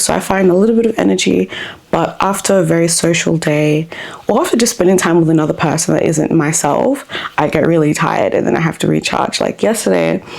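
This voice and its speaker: A woman talks to camera in a reflective personal vlog, her voice sitting somewhat low and crackling with vocal fry. She meanders as she thinks, restarting lines and filling pauses with um and like. Her delivery stays unhurried and candid. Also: darkish tone. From born in Africa, grew up in Australia. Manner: thoughtful, rambling, frequent ums and likes. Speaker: vlogger.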